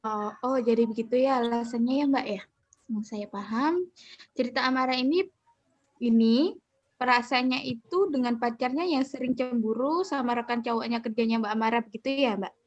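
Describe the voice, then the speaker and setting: A woman playing a calm 35-year-old counselor who leads a group session on a video call and reassures the members. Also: fairly high pitch, moderate pace, no echo, close to an everyday voice. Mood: steady. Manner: gentle, reassuring, with polite fillers. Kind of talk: student counseling roleplay. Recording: dull, dry video-call audio.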